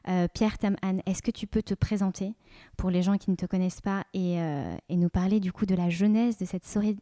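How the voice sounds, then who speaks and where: Female podcast host, warm mellow tone, dry close sound, friendly measured questions. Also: lowish voice, dry recording. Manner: friendly, measured, with frequent fillers. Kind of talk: podcast interviewing.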